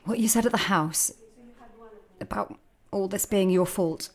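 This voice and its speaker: A woman playing a tender, regretful adult sister, speaking gently and haltingly in an audio drama. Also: mid-range voice, close to an everyday voice.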